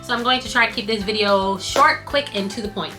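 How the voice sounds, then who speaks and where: A woman with a bright, forward voice talks through business tips in an easy, chatty way. She is losing her voice, so it wears thin in places, and faint music plays under her. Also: mid-range voice, fairly quick. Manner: chatty, reads tips off cue cards while sewing. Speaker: scrunchie business owner and channel host. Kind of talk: casual tutorial vlog.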